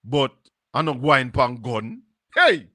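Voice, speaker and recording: A Jamaican man with a Jamaican accent hosts a live stream in an unhurried, musing way, dropping into patois. His voice is bright and forward, and the sound is close and dry with no room around it. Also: mid-range voice, big pitch swings, no echo. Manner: slow, musing, drops into patois. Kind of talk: casual live-stream commentary.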